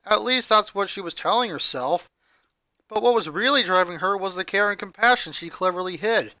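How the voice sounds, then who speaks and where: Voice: mid-range voice, moderate pace, sharp tone, no echo, studio quality. A person reading a fan fiction story aloud as scripted narration. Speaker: story reader.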